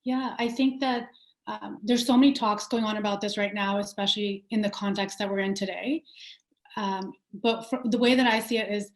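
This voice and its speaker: A woman's voice: medium pitch, steady tone, normal speed. A young adult woman from Pakistan, a company founder answering interview questions in a thoughtful way, with many fillers and restarts. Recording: roomy echo.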